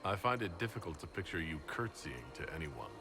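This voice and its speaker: An actor playing a resolute, inquisitive adventuring leader in a video game, with a direct, businesslike manner. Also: male voice, deep voice, sing-song, a little fast, music in the background. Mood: direct, questioning. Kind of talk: video game dialogue acting.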